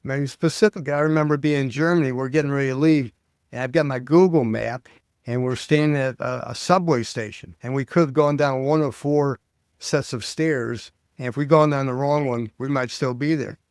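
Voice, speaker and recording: An older American man, a public speaking coach, chatting as an interview guest and telling stories with lively quoted voices. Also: medium pitch, brisk, clear, resonant tone, dry recording, studio quality. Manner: animated storyteller, acts out quoted voices. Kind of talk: casual interview chat.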